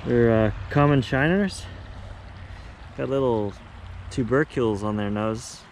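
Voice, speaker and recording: A man in his forties chats excitedly about his catches while fishing outdoors. He talks at a medium pitch into an action camera. Clear rushing creek water runs behind him. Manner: excited, upbeat commentary on catches. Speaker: fishing channel host. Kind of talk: casual outdoor fishing vlog. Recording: outdoor camera audio with loud stream noise.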